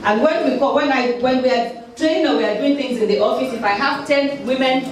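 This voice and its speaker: A woman's voice: sits high, normal speed. A woman with a Nigerian accent from Nigeria, giving an emphatic health talk with slides and stressing her figures. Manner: emphatic, repeats key figures for her interpreter. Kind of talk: presentation with slides. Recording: echoey hall, distant mic, crowd.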